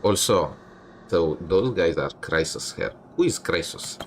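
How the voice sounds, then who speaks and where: A man's voice: low-pitched, lively intonation, measured pace, slight background noise. A man giving casual, irreverent gameplay commentary, heckling characters and reading dialogue aloud. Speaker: game streamer. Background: loud game music.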